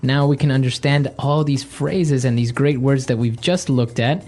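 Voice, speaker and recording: Mellow male lesson host. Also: medium pitch, fairly even intonation, moderate pace. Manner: repeats phrases and asks checking questions. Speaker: podcast co-host, English teacher. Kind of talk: conversational vocabulary lesson.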